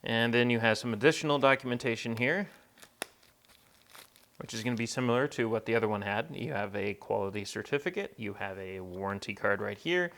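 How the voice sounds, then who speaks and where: A man's voice: fairly low pitch, a little fast, gravelly, a bit bright. A man giving casual, conversational unboxing commentary as a tech reviewer, explaining things as he goes.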